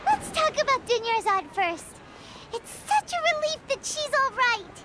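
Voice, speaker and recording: A woman voicing a tiny, excitable female fairy companion in a video game, with a squeaky childlike voice. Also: unhurried, background noise, full character voice. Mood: relieved, excited. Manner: excitable, exclamatory. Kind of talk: video game dialogue acting. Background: music.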